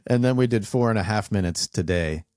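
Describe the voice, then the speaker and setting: A man in his forties with a very low, deep voice, telling stories in a loose, rambling way as he hosts a podcast conversation. The sound is close and dry, with no room around it. He leans on fillers and trails off between thoughts. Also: normal speed, dry recording. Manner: relaxed, rambling storyteller with many fillers. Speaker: podcast host. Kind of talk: casual interview chat.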